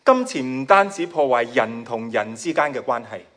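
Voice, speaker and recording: A man's voice: medium pitch, animated, normal speed, a bit bright, soft background music. A man with a Hong Kong accent, a native Cantonese speaker, preaching a sermon in short chunks with modest, shy laughs. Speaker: guest preacher.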